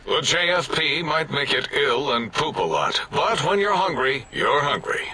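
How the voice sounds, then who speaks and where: A paranoid machine scientist from a video game, raspy and forcefully sharp. He declaims in slow, halting, grandiose phrases, with a pompous and irritable temper. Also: on the high side, very animated, heavily acted. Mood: musing, fussy. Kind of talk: video game character acting.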